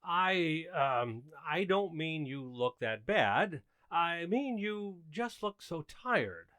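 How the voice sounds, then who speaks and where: A man's voice: a little high, sing-song, slow, sharp tone, clear, resonant tone, no room sound, heavily acted. A man playing an earnest, fussy suburban husband and father in an old-time radio sitcom.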